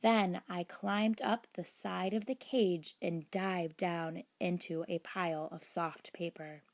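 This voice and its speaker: A woman reading a children's book aloud as narrator, in a deliberate, expressive storytelling manner. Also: lowish voice. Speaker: storybook reader.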